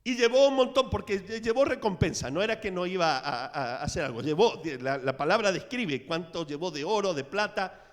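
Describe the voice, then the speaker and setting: High-voiced pastor preaching in a reverberant church. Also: male voice, fairly quick. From Latin America. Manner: storytelling preacher, dramatic swings in loudness. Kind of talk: sermon. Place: church sanctuary.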